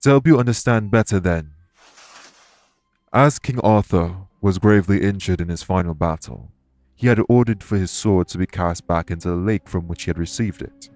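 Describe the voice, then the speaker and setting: Man acting solemn, low-voiced guilt-ridden fae. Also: darkish tone, lightly acted. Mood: grave, explanatory. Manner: measured, formal, earnest, deliberate phrasing. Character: solemn, guilt-ridden male fae explaining magic to a patient. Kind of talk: audio roleplay acting, addressed to listener.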